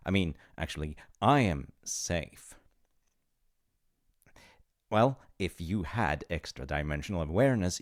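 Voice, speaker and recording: Guy acting as an awkward demigod in a comedy roleplay, gravelly, kinda slow, stammers and apologizes a lot. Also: low voice, full character voice. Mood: breezy, reassuring. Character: flustered, chatty male demigod in charge of reincarnation. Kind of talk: comedic audio roleplay addressed to the listener.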